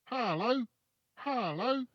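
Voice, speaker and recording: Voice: mid-range voice, animated, takes it slowly, fairly bright tone, dry recording, highs cut off, voice pushed up. A narrator voicing a talking parrot in a storybook, repeating short phrases in imitation of human speech. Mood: chirpy calling.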